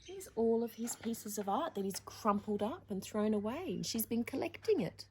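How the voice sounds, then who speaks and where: Animated woman reading a children's storybook. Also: on the high side, moderate pace, slight background noise. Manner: playful, theatrical, with dramatic emphasis. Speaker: storytime presenter reading children's picture books. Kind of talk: animated picture-book reading with chatty asides to young listeners.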